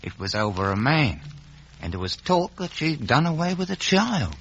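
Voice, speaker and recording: An actor playing a gossipy, confiding lower-class law clerk in a 1950s radio drama. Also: male voice, sing-song, moderate pace, gravelly, a bit bright, exaggerated voice. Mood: confiding, relishing. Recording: muffled, noisy vintage radio recording.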